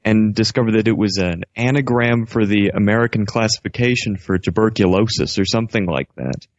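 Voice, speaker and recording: Canadian guy calling into radio, deep voice, hesitant n polite, dry muffled online call sound. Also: a little fast, no room sound. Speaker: radio show caller. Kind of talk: casual, hesitant explaining. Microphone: internet voice call. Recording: dull, lo-fi internet call audio.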